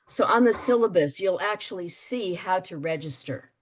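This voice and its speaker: A woman leading an online meeting, explaining things with many fillers and pauses. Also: on the low side, animated. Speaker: meeting facilitator.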